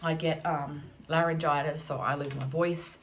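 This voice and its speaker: A woman with an Australian accent, croaky from allergies, chatting casually as she works through a DIY cleaning job at home. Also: low voice, steady tone, normal speed, slight background noise. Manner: thinking aloud, chatty, self-correcting. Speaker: homestead vlogger. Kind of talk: casual DIY vlog chat. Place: home, indoors.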